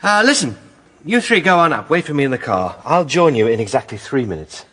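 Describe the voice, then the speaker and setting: An actor playing a keen, plucky amateur sleuth in a radio drama, confident and polite in manner. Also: male voice, medium pitch, very animated, brisk, fairly bright tone, slight background noise. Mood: eager.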